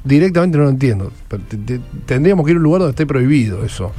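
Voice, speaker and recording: A man's voice: mid-range voice, expressive, rapid-fire, warm tone, husky, soft background music. A man with an Argentine Spanish accent hosting an interview, asking blunt and colloquial questions. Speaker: interviewer host. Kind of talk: casual interview.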